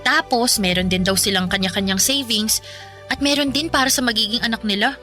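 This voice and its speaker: A woman's voice: a little high, a little fast, pushed and bright, soft background music. A young woman with a Filipino accent playing a candid, ambitious, playful girlfriend in a conversational radio drama. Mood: chatty, admiring. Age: young adult.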